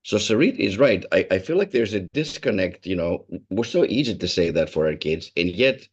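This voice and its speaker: A man from Greece, whose first language is Greek, speaking as a happiness and wellness speaker on a video call, measured and storytelling. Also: sits low, lively intonation, slightly rough. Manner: measured, storytelling, with many restarts. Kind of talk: reflective talk on a video call. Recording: muffled video call audio.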